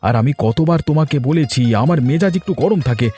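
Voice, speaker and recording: A man's voice: fairly high pitch, animated, fast, warm tone, faint music behind, close to an everyday voice. A man voicing a hot-tempered but affectionate husband in a cartoon, brusque and nagging yet caring. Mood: gruffly caring. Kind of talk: animated cartoon story dialogue.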